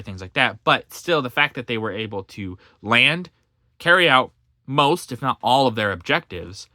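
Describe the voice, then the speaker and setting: A man reading a history book aloud, with halting reading, fillers and self-corrections, stopping now and then for casual comments. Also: lowish voice, bright, forceful tone, no echo, studio quality. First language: English.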